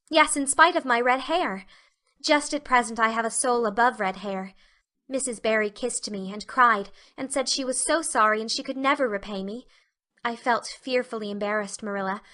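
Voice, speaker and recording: A woman acts out an excitable young orphan girl in a dramatic audiobook. The voice is fairly high and bright. She is talkative and dreamy, delivering grand phrases with earnest, effusive feeling.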